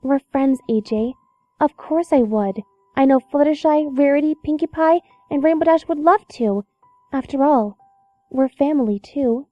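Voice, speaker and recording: A woman voicing an earnest, caring young pony who is gently serious, on a dull microphone, in an audio drama. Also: high voice, moderate pace, no room sound, soft background music, natural voice. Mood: supportive. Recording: dull, band-limited microphone.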